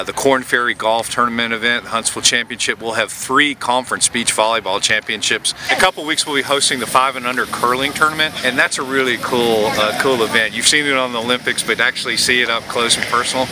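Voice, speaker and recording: A man's voice: medium pitch, fairly even intonation, a little fast, few pauses, background noise. A man speaking enthusiastically in an interview, with many fillers. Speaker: interviewee, local tourism official. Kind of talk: casual interview.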